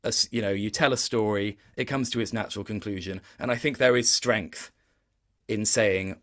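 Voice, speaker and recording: A man speaking as an interview guest in a casual chat, thoughtful and halting, often reworking his sentences as he goes. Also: fairly low pitch, fairly even intonation, a bit bright. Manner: thoughtful, halting, with many self-corrections.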